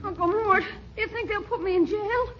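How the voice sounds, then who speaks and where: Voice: extremely high pitch, normal speed, faint music behind. A performer playing a brash, whiny, slangy young boy, a mischievous nephew, in 1940s radio comedy style. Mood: worried. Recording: dull, noisy 1940s radio broadcast.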